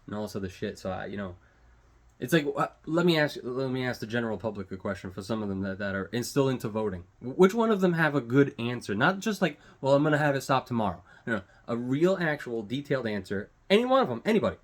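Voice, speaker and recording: A man's voice: mid-range voice, big pitch swings, fast talker. An American man giving an unscripted, blunt opinion rant, swearing heavily and repeating phrases for emphasis. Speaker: vlogger giving commentary.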